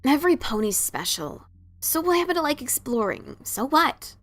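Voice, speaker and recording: Woman playing a brash, expressive adventurer mare. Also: high-pitched, normal speed. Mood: incredulous, defensive. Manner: blunt, incredulous, informal. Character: brash, skeptical adventurer mare, adult female pony. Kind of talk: fan fiction dramatic reading.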